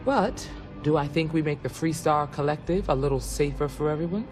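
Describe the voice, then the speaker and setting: A woman's voice: sits low, a little fast, close to an everyday voice. A woman playing an earnest, folksy female ranger recruiter in a video game. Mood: earnest, matter-of-fact. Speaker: recruiting officer. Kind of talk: video game character dialogue. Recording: game audio with loud music bed. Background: music.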